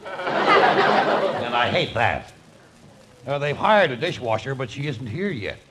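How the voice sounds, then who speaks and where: A man's voice: mid-range voice, very animated, slow, a loud crowd. A man acting in a 1950s radio comedy as a bumbling deposed grand duke turned lazy waiter, hesitant and grumbling. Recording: noisy vintage radio broadcast.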